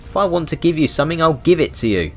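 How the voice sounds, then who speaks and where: A man's voice: fairly high pitch, animated, quick pace, a bit bright. A British man giving rambling, unscripted video game commentary with a wry, mock-indignant manner. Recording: music behind the voice. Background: background music.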